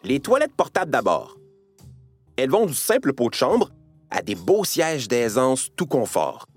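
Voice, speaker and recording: A man's voice: medium pitch, big pitch swings, measured pace, slightly rough, soft background music. A man narrating a scripted educational piece for children, playfully addressing the listener.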